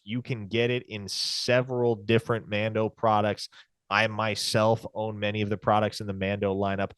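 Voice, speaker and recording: A man's voice: mid-range voice, dry recording. A man co-hosting a sports podcast, speaking in a dry, wry and articulate way, also reading ads. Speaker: podcast co-host. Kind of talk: casual sports podcast chat and host-read ad.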